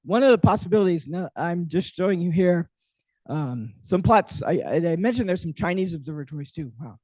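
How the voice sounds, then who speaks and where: A man's voice: high-pitched, lively intonation, brisk, a little mellow, no room sound. A man giving a workshop lecture, hesitant with many ums and restarts, while losing his voice. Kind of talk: academic workshop talk. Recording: dull, muffled lecture audio.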